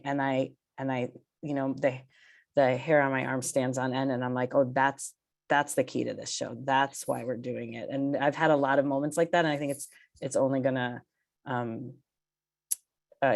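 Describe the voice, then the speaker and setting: A woman from a theatre team speaking reflectively in a panel discussion, earnest and halting as she searches for words. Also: rumbling low, vocal fry, darkish tone. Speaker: theatre artistic team member.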